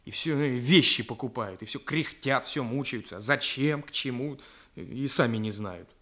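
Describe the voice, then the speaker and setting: An actor playing a naive, good-hearted young policeman in a radio drama, plaintive and halting as he repeats himself. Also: mid-range voice, a bit bright, close to an everyday voice.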